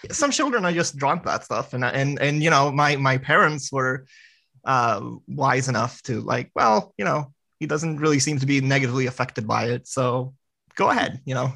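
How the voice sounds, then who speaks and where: Bright-voiced Swedish man, eager and halting. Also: medium pitch, lively intonation, gravelly. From Sweden. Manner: halting, with many restarts and fillers. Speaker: podcast guest. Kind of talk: casual podcast interview.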